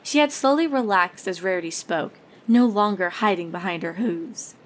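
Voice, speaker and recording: A woman's voice: mid-range voice, moderate pace. A woman reading scripted story narration as the narrator of a fan fiction tale.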